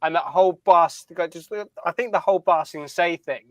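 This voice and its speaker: A man's voice: a little high, fairly even intonation, fairly quick, fairly bright tone, no room sound. A man co-hosting a podcast, chatting casually as a fan and often repeating phrases as he thinks. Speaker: podcast co-host. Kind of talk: casual fan chat about a TV series.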